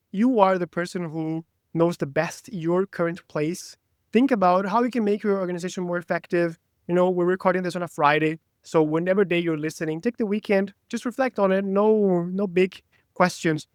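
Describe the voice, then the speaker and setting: A man's voice: high voice, dry recording, studio quality. A man from Brazil whose first language is Portuguese, talking eagerly as a podcast guest in a casual interview. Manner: enthusiastic, talkative.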